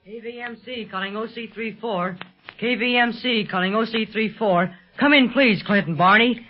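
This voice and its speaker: A man's voice: high-pitched, moderate pace, close to an everyday voice. A male actor playing a plucky, earnest boy radio operator in a 1930s adventure serial. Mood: anxious calling. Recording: muffled 1930s radio recording, faint music.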